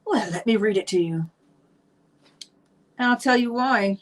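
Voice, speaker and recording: A middle-aged Barbadian woman speaks in an emphatic monologue, asking herself questions and answering them. Her voice sits at an ordinary pitch for a woman, and she teaches with a firm, preaching lilt. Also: unhurried. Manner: emphatic, rhetorical questions, acted quotes. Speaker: spiritual teacher. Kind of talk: monologue on manifestation.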